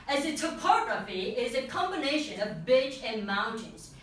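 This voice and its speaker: A young Vietnamese woman with a Vietnamese accent gives a class presentation in English, earnest, pausing and restarting now and then. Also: high-pitched, fairly bright tone, slight background noise. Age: young adult. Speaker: student presenter. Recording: very echoey room, mic far off.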